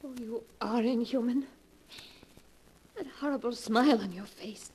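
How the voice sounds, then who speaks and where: An actress in a 1940s radio drama playing a desperate young woman who speaks in breathless, halting, pleading bursts. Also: a little high, a little mellow, slight background noise, close to an everyday voice. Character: a desperate young woman facing her lover.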